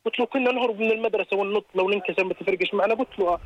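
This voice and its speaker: A man's voice: high voice, very fast, highs cut off. A man in his thirties with a Jordanian Arabic accent, chatting casually and telling anecdotes as a caller. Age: 30s. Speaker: radio show caller. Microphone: phone call.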